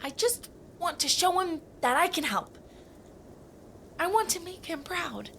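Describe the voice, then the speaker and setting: A woman's voice: very high-pitched, animated, measured pace, vocal fry, pushed and bright, slight background noise, heavily acted. A woman playing a timid, bookish young male mountain troll, hesitant and earnest, in a family audio drama. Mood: earnest, wistful.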